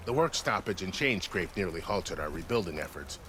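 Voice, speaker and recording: Wry, low-voiced army officer. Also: male voice, expressive, a little fast, background noise. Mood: wry, cautioning. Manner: wry, courteous, storytelling. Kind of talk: video game acting.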